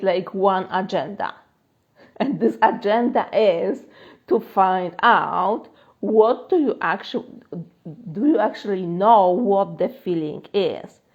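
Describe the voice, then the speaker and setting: A woman's voice: medium pitch, highs cut off. A woman with a Polish accent, a life coach answering questions in a live-stream chat, talking loosely with fillers and frequent laughs. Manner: chatty, many fillers, laughs often. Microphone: phone.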